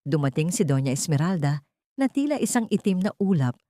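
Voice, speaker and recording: A woman's voice: sits low, sing-song, a little fast, mellow, dry recording, studio quality. A woman with a Filipino accent narrating a dramatic scripted story as an audiobook reader.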